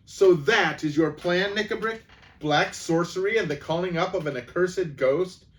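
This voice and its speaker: A man voicing a young king who speaks with righteous, booming indignation in a storybook reading. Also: moderate pace, natural voice. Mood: indignant.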